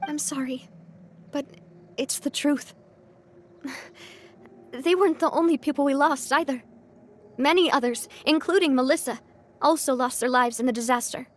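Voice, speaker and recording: An actress playing a young gang leader in a video game, candid and composed, with a measured, gentle way of talking. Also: female voice, sits high, close to an everyday voice. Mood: somber. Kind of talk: video game character dialogue.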